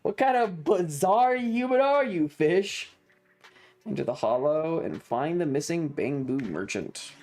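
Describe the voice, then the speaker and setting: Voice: medium pitch, animated, a little slow, a little mellow. A streamer chatting casually while playing a game, with a sarcastic, profane manner. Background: music.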